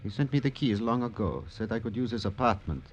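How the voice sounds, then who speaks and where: An actor playing an anguished, unstable male ballet impresario, theatrical and halting, in an old-time radio drama. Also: on the low side, expressive, lo-fi sound, a little hiss or hum. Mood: troubled. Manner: theatrical, halting, repetitive, formal phrasing.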